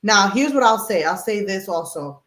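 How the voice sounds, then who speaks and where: A woman hosting a podcast in casual chat, talking in an animated, emphatic way and explaining with everyday examples. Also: medium pitch, normal speed, roomy sound. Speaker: podcast host.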